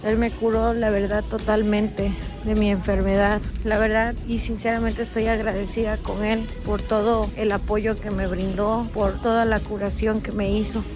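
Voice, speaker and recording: A woman's voice: mid-range voice, flat delivery, normal speed, hardly any pauses. Earnest woman in her thirties. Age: 30s. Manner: earnest, repeats "la verdad". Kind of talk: recorded testimonial. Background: music.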